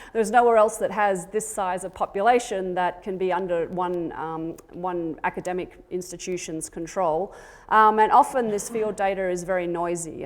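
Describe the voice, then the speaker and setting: An Australian woman presents to a conference audience in a mid-pitched voice, neither high nor low for a woman. She explains technical material step by step in an academic lecturing manner. A light joking touch comes through now and then. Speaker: university researcher presenting at a conference. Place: conference session before an audience.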